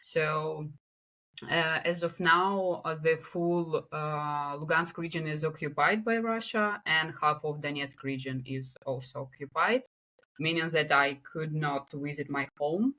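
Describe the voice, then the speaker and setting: A woman's voice: low voice, measured pace, pushed and bright, poor audio. A woman from Ukraine with a Ukrainian accent, speaking English as a guest on a remote interview, hesitant with many filler sounds. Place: home in Kyiv, remote call.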